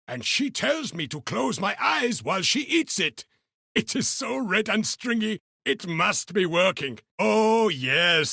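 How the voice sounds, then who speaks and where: Game casting: eccentric, cheerfully mad beast hunter; high, wildly swinging pitch, theatrical and exuberant. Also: male voice, gravelly, sharp tone, breathy edge, no echo, studio quality, full character voice. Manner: third-person self-talk, theatrical, exuberant delivery. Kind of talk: video game character voice lines.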